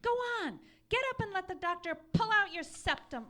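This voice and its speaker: An actress playing a nagging, scatterbrained wife in a live radio comedy, plaintive, insistent and obliviously literal. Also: female voice, very high voice, expressive, normal speed, pushed and bright, full character voice. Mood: insistent needling. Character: nagging, needling, scatterbrained wife quarrelling at night. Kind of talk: live old-time radio comedy acting before an audience. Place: live theatre stage.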